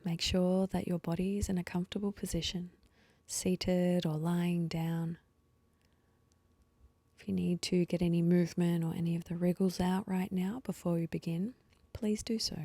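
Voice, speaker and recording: Low, soft-voiced woman guiding meditation. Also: level tone, dry recording. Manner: slow, soothing instruction with pauses. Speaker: meditation guide. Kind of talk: guided meditation.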